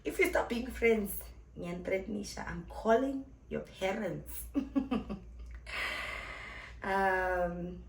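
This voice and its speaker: A woman's voice: mid-range voice, extremely slow, gravelly, pauses often. Chatty young woman in an echoey room. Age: 20s. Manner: chatty, blunt and playful, addressing viewers as guys. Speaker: vlogger and club host. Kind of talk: casual Q&A vlog to camera.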